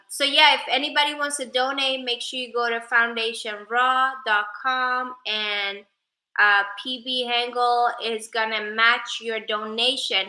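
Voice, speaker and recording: A woman's voice: mid-range voice, sharp tone, roomy sound, low-quality recording. A woman from Sweden, whose first language is Persian, chatting casually on a livestream and thanking viewers by name. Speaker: livestreamer and advocate.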